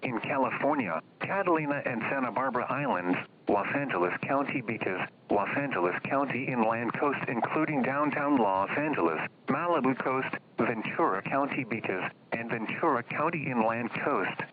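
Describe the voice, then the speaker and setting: A man's synthetic-sounding announcer voice reading weather alerts in a flat, formal bulletin manner. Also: medium pitch, normal speed, pauses often. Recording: muffled, noisy weather radio broadcast.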